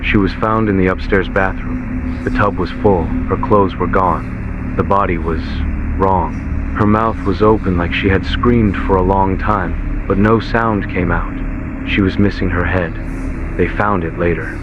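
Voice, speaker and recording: Deep-voiced man narrating horror, muffled lo-fi audio. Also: fairly even intonation, a little slow. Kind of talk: scripted horror story narration in second person. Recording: lo-fi muffled VHS-style audio, heavy noise.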